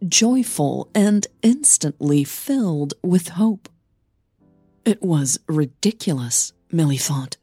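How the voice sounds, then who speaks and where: Slow, mellow, husky woman storyteller. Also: deep voice, no room sound. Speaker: narrator of fiction audio drama. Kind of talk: scripted dramatic storytelling narration.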